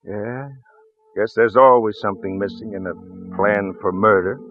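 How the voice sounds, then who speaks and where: An actor playing a folksy, shrewd small-town police lieutenant in a 1940s radio drama, hesitant and apologetic in manner. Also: male voice, on the low side, measured pace, soft background music, natural voice. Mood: shrewd, regretful. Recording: muffled vintage radio recording, phone-like band.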